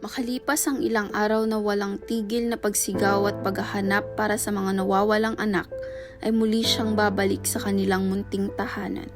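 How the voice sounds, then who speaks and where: A woman's voice: medium pitch, little pitch movement, a little fast. A woman reading scripted dramatic narration as the narrator of a radio play. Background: music.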